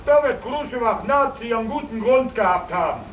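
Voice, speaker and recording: High-voiced, formally courteous soldier Simon. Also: male voice, expressive, a little fast. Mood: puzzled, hurt. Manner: stiffly polite, speaks in third person. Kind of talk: 1950s German radio drama acting. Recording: roomy, distant 1950s radio recording, faint hiss.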